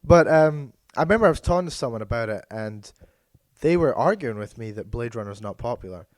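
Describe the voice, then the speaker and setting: A man with a hoarse, worn voice from being ill chats loosely as a podcast co-host, drifting and correcting himself as he goes. His pitch sits in an ordinary middle range. The sound is dry and close, with no room echo. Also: animated, fairly quick. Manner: meandering, hesitant, self-correcting. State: hoarse, losing his voice from illness. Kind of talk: casual chat.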